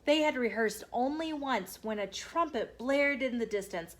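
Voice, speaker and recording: A woman hosting storytime, reading a children's book aloud in a measured, explanatory way. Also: on the high side, animated. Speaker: storytime host.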